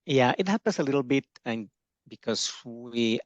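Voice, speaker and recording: A man's voice: medium pitch, lively intonation, moderate pace, no room sound, highs cut off. Hesitant Colombian-born man, filler-heavy. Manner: hesitant, many fillers and restarts. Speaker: education agency founder, interview guest. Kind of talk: casual video-call interview.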